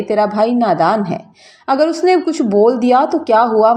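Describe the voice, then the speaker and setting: Mellow-voiced woman telling an emotional story. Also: mid-range voice. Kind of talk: scripted narration of an emotional story.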